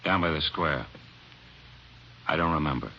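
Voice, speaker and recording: On a dull, slightly noisy vintage radio recording, a young male thief with a very low voice. He is surly and cocky, dodging and sneering in sullen street slang, in 1950s crime drama style. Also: moderate pace, gravelly. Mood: evasive. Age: young adult.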